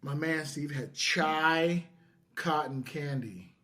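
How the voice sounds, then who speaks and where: A man's voice: on the high side, slow pace, bright, forceful tone. A man co-hosting a casual podcast chat, talking in an enthusiastic, effusive way. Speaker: podcast co-host.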